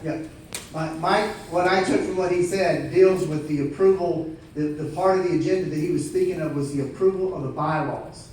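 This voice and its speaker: A man chairing a meeting, moderating informally and explaining himself in a halting way. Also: a little high, darkish tone. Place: large indoor meeting room. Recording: very echoey room, distant, faint noise.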